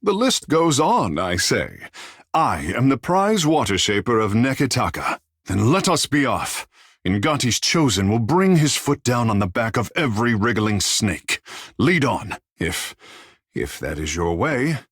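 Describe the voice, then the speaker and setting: An actor playing a flamboyant, self-admiring godlike water shaper in a fantasy video game, speaking in a grandiose, playful, theatrical manner. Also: male voice, mid-range voice, very animated, unhurried, fairly bright tone, breathy edge, no echo, clean studio sound, heavily acted. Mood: boastful, playful.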